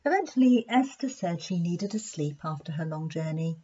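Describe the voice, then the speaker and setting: A woman narrates a story for children with expressive, deliberate delivery. Her voice sits on the low side and has a clearly husky edge. The recording is dull and lo-fi. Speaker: play therapist reading a children's story. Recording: dull lo-fi sound.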